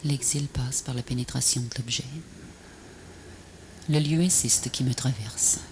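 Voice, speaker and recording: A woman recites poetry as a voice-over in a solemn, measured, breathy and often half-whispered delivery. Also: very deep voice, darkish tone. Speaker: poet narrator of a video poem. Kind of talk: poetic recitation, scripted voice-over. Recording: noisy VHS transfer, ambient noise.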